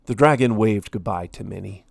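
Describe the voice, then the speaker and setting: A man reading a children's story aloud in an expressive way, with deliberate, dramatic emphasis. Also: lowish voice, creaky, no echo, studio quality. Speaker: storybook reader, host.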